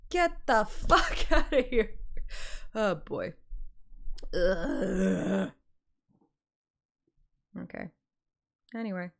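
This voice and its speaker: A woman live-streaming a game, playful and expressive, switching between casual reactions and reading dialogue aloud. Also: medium pitch, drawn-out words, gravelly, long pauses. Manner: playful, expressive, swears freely. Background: game music.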